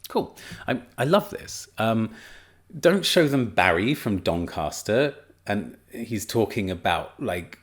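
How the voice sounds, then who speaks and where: Male teacher trainer, young adult, lowish voice, casual enthusiastic lecture delivery. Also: very animated, slow. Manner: chatty, enthusiastic, frequent fillers and restarts. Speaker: teacher trainer presenting a study.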